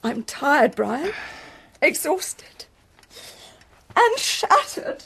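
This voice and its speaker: An actress playing a weary, anxious older wife in a naturalistic radio soap, measured and pleading. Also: female voice, high-pitched, sing-song, fairly bright tone, slight background noise, full character voice. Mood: exhausted, hurt.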